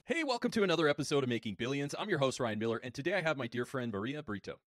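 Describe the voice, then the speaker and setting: A man in his forties hosts a podcast from his home office, speaking quickly and smoothly into a boom-arm studio mic. His delivery is upbeat and polished, and the sound is close and dry with no room around it. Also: lively intonation, fairly bright tone, no room sound, soft background music. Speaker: podcast host. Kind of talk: interview podcast hosting. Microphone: studio mic on boom arm, headphones.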